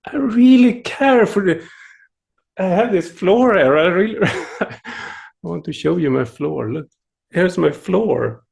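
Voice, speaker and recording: A middle-aged man from Sweden with a Swedish accent, a native Swedish speaker, giving a gentle, playful meditation talk over a video call, often chuckling and pausing to search for words. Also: high voice, expressive, creaky. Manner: unhurried, with pauses and searching for words. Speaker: meditation teacher. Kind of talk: dharma talk at an online retreat.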